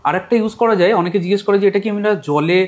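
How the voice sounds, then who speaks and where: A male shop owner runs through product details at a very fast clip. His voice sits a bit high and his delivery is practical and matter-of-fact. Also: a little mellow. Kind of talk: explaining products.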